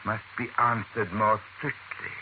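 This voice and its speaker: A low-voiced, ominous male villain played by an actor leads secret alien infiltrators. He speaks with measured, smug condescension. It is old radio drama on a narrow, hissy recording. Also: flat delivery, close to an everyday voice. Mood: smug menace.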